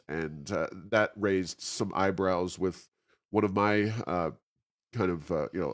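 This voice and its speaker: Podcast interviewer, male, American. Deep register, conversational delivery with frequent hesitant, circling phrasing. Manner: halting, roundabout phrasing with many hesitations. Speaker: interview host.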